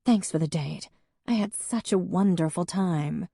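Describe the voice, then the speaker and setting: A woman reading an audiobook, voicing a sarcastic young woman with a dry, deadpan wit. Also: on the low side, unhurried, vocal fry, no echo.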